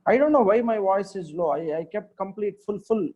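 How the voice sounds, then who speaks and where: High-voiced maths teacher, encouraging. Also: male voice, expressive, normal speed, phone-quality sound. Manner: repetitive, checks on students often, praises answers. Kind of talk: live online lesson.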